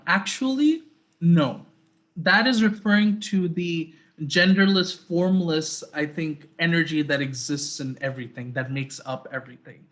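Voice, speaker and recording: A man's voice: a little high, a bit bright. Earnest man speaking on a video call. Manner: earnest, reflective, devotional tone. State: sore throat. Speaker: guest speaker, interfaith activist. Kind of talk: talk then answering audience questions.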